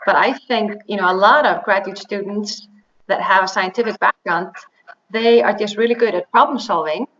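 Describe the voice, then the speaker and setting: A woman's voice: medium pitch, a bit bright. Woman answering with many fillers. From Iceland. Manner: halting, many fillers and restarts. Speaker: interview guest, biotech executive. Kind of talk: casual interview answers. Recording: slightly dull, faint noise.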